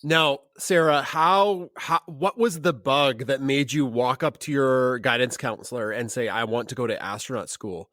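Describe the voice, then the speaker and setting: A woman hosting a science podcast, reading plugs and asking quick, upbeat follow-up questions. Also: a bass voice, a bit bright, dry recording, clean studio sound. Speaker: podcast host. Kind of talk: casual interview and plugs.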